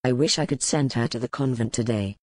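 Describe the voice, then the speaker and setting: A woman voicing a stern male duke who is master of his keep, speaking low and firm in an audiobook. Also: brisk, darkish tone, slightly rough, no room sound. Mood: commanding. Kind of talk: audiobook character voice.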